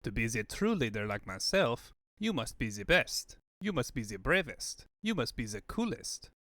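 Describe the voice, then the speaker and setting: A man's voice: medium pitch, big pitch swings, normal speed, a bit bright, no echo, studio quality. A man voicing a pompous, boastful cartoon would-be leader who lectures, in a comic fan dub. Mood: self-important.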